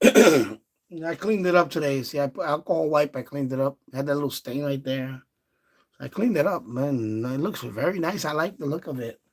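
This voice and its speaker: A man's voice: on the high side, moderate pace. A man chatting casually and repetitively as he hosts a livestream demonstrating radios from indoors. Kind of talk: casual chat. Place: indoors in a basement.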